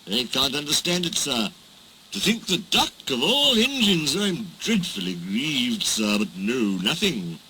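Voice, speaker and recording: A man's voice: mid-range voice, big pitch swings, measured pace, sharp tone, a little hiss or hum, poor audio. A man voicing a sly, scheming male diesel engine that whines and speaks in an oily voice. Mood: grieving. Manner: scheming, whining.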